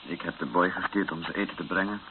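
An actor playing a grave, resigned missionary priest in a radio drama, speaking in a sombre, measured way. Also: male voice, deep voice, fairly even intonation, natural voice. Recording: muffled, noisy old radio recording.

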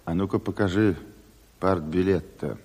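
An actor playing a gruff, firm Bolshevik chairman in a Soviet radio drama, terse and matter-of-fact. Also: deep voice, a little slow, darkish tone. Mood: stern. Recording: noisy, reverberant old radio play recording.

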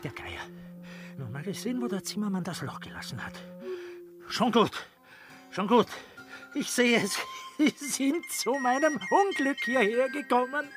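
A rough-spoken, shifty bailiff in a period radio play. His high voice leaps about as he blusters and mutters, with prominent music in the production. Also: big pitch swings, measured pace, exaggerated voice. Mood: defensive, blustering. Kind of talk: German classical comedy radio play acting.

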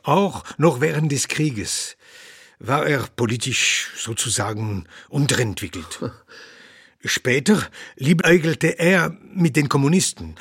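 An actor playing an elderly doctor and philosopher in a radio play, lecturing earnestly and growing indignant when challenged. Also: male voice, mid-range voice, slightly rough, no echo, clean studio sound, exaggerated voice. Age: older. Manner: earnest, lecturing, quotes his own writings. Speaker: interviewee.

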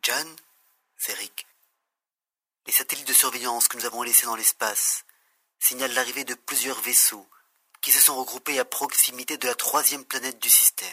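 A man acts out a mercenary on watch in an audio space opera. The voice is mid-pitched, piercingly sharp and husky. The report is terse and factual. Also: fairly even intonation, normal speed. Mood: alert, factual. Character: urgent male mercenary lookout reporting by communicator. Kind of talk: science-fiction audio drama acting.

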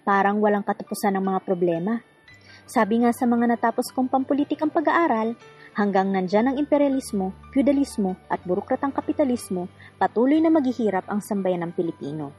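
A woman's voice: fairly high pitch, expressive, fairly quick. A woman hosting a radio drama, reading scripted narration and listeners' letters. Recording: faint music behind the voice. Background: soft background music.

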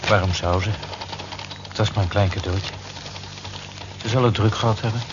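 A man's voice: on the low side, fairly even intonation, moderate pace, close to an everyday voice. An actor playing a modest, reserved male office clerk who answers briefly and evasively in a radio drama. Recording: very noisy, dull old radio recording.

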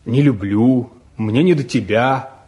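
An actor playing an earnest, quietly firm young newlywed son in a 1950s Soviet radio drama, matter-of-fact and soft-spoken. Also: male voice, mid-range voice, slow pace, husky. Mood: reflective, tender. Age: young adult.

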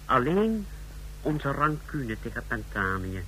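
An actor in a radio play voicing an efficient state official who reports in a formal, precise, clinical manner. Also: male voice, medium pitch, big pitch swings, takes it slowly, a bit bright. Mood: clinical, satisfied. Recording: noisy old radio play recording.

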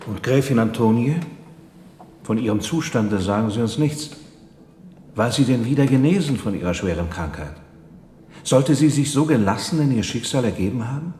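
A man's voice: mid-range voice, expressive, moderate pace, dark tone, natural voice. A man playing a noble lord in a radio drama, an eager listener with formal, probing speech. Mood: concerned, doubtful. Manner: formal, insistent questioning. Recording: echoey hall, some background noise.

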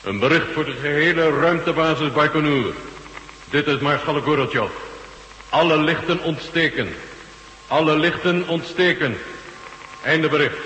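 An actor playing a Russian marshal in a radio drama, authoritative and plain-spoken. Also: male voice, a little high, unhurried, long pauses, pushed and bright. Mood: commanding. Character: Russian marshal in command of a space base. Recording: humming noisy old radio recording.

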